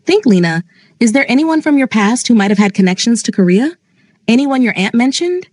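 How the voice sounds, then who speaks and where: A woman voicing a commanding male crime boss who speaks in a measured, controlled way. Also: on the high side, big pitch swings, darkish tone, no room sound, studio quality, pitched above the performer's own voice.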